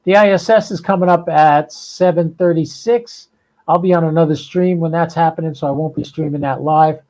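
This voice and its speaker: A man's voice: fairly high pitch, steady tone, moderate pace, a little mellow. An American man hosting a casual, unscripted livestream, talking in a folksy, rambling, informal way. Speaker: podcast and livestream host.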